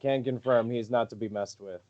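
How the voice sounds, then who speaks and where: A man's voice: mid-range voice, steady tone, moderate pace, darkish tone, lo-fi sound. A man from Rhode Island, a professional wrestler, answering interview questions in a friendly, polite way with easy laughs. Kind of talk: casual interview.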